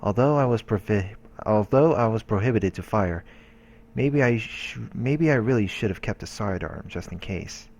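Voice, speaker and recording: A man hosting a let's play, reading visual novel narration aloud in a measured way. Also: fairly low pitch, expressive, a little mellow. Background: game background music.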